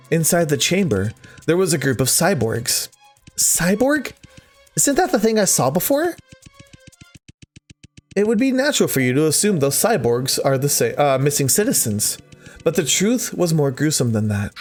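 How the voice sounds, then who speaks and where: A man hosting a let's play, reading visual novel text aloud expressively with casual commentary. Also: fairly high pitch. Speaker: gaming video host.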